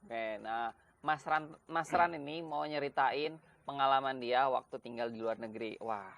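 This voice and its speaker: A man's voice: mid-range voice, a little slow, vocal fry, fairly bright tone. A man hosting a casual interview, chatting informally and bantering with his guest. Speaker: host of a chat interview show.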